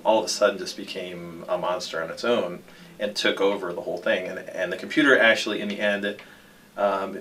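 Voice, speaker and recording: Low, bright, even-voiced man. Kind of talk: interview account. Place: indoors, seated interview. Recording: distant mic with some noise.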